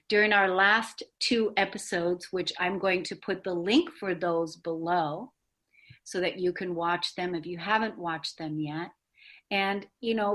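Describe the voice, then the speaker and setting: A woman hosting a discussion show in a conversational, somewhat halting and rambling way. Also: lowish voice, fairly bright tone. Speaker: talk show host.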